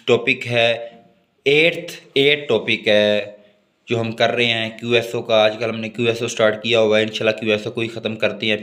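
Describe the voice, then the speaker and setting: A man's voice: fairly low pitch, fairly bright tone. A man with a Pakistani accent giving an exam-focused lecture, switching between Urdu and English as he reads passages and explains them. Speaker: law lecturer.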